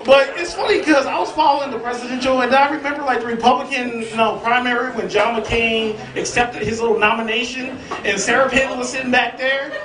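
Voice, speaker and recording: High-voiced brash male stand-up comic. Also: steady tone, fairly quick, hardly any pauses. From southern United States. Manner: brash, profane, teasing the crowd. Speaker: stand-up comedian. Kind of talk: stand-up comedy set. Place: comedy club stage before a live crowd. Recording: roomy live club audio from a distance with crowd.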